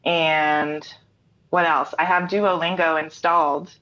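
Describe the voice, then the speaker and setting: A woman's voice: low-pitched, fairly even intonation, takes it slowly, noisy background. A middle-aged American woman chatting casually as an interview guest, in an easygoing, explanatory way. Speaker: interview guest, singer-songwriter and writer. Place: remote online call from home. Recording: dull lo-fi online call audio.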